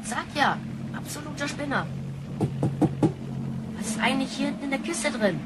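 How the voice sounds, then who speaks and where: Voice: high voice, expressive, moderate pace, heavy background noise. A young performer playing a cheeky grandchild from the future in a science-fiction radio play, slangy and scornful. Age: child or young teenager. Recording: music behind the voice.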